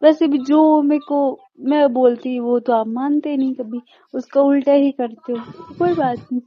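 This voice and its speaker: A woman's voice: sits high, fairly even intonation, measured pace. A woman in intimate casual chat, coaxing her partner in a stubborn, playfully pleading way. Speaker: wife. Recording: dull lo-fi call-style recording.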